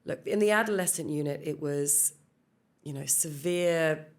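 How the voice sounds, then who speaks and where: A woman's voice: low voice, measured pace. A middle-aged woman with an English accent, a psychologist talking through her career in a podcast interview, thoughtful and full of fillers. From Scotland. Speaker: clinical psychologist guest.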